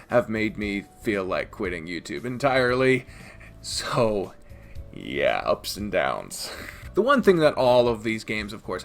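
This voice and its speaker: A man's voice: fairly low pitch, fairly bright tone. A man giving casual, opinionated countdown commentary in a sardonic, sweary way, often interrupting himself like a reviewer talking to his audience. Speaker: video reviewer and podcast host.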